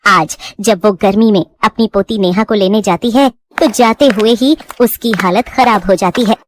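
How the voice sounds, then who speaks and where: A woman's voice: medium pitch, normal speed, slight background noise. A woman reading a scripted bedtime moral story as narrator.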